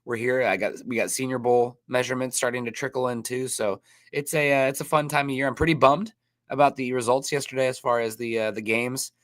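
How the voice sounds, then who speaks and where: A man's voice: mid-range voice, fairly even intonation, a little fast, a bit bright, dry recording. A man with a sore throat and a stuffed-up nose, co-hosting a podcast in casual, rambling chat. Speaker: podcast co-host.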